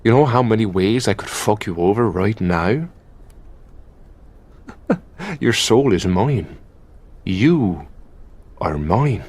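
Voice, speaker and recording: A man with an Irish accent playing a possessive, taunting demon lover in an intimate, gravelly ASMR roleplay. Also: on the low side, animated, darkish tone, exaggerated voice. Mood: gloating, taunting. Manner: commanding, foul-mouthed, taunting, drawn-out delivery. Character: possessive, menacing, teasing male high demon of lust.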